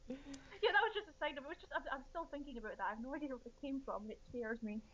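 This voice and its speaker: A woman chatting casually as a podcast co-host, animated and joking. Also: high-pitched, very fast, a little hiss or hum, low-quality recording. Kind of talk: casual chat.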